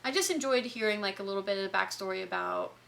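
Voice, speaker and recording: A woman's voice: mid-range voice, fast talker, creaky, fairly bright tone. A woman with a light Southern US accent, co-hosting a book podcast in chatty, enthusiastic conversation, often restarting her sentences. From Southern United States. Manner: chatty, enthusiastic, self-interrupting. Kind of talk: casual chat.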